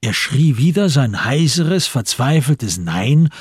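A man narrating a scripted radio drama in a raspy voice. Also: mid-range voice, big pitch swings, slow pace, a little mellow, dry recording, studio quality.

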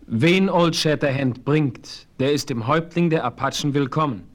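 An actor playing a noble Apache chief with ceremonial, dignified speech in a western audio drama. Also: male voice, fairly high pitch, normal speed, close to an everyday voice. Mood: stately. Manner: ceremonial, stately, flowery formal speech. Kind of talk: 1970s German western audio drama acting.

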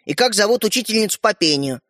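Male reader as nagging, curious storybook little boy; pressing questions, lively pitch swings. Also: fast talker, no echo, studio quality. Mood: inquiring. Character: insistent, inquisitive little boy.

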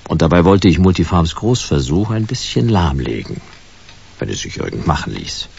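A man's voice: low voice, sing-song, moderate pace, gravelly, a little mellow, husky, highs cut off, full character voice. An actor playing a stubborn, hard-boiled private detective narrating his case laconically in a noir radio play. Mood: laconic, brooding. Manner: laconic, dry, clipped sentences.

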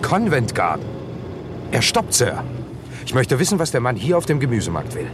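An actor playing a deferential, eager, slightly naive detective's assistant in a German radio crime drama. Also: male voice, medium pitch, sing-song, moderate pace, background noise, natural voice. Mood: alert. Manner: respectful, eager reporting to his superior.

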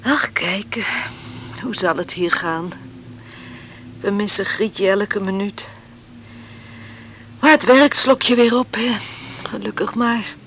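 An actress playing a weary, grieving farmer's wife in a Dutch radio play, sighing and resigned. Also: female voice, mid-range voice, takes it slowly, pauses often, fairly bright tone, slight background noise. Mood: weary, sorrowful.

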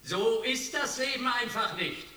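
An actor playing a defensive spokesman in a radio play, measured and conceding, in a hissy, echoey recording. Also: very high voice, animated, sharp tone, heavily acted. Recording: hissy, echoey, distant microphone.

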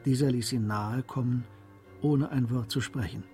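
Guy as calm narrator for a radio play, dark mellow mid voice, bit of music behind. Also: medium pitch, lightly acted. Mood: calm, narrative. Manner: measured, literary. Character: calm male literary storyteller. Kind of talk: scripted narration of a radio drama.

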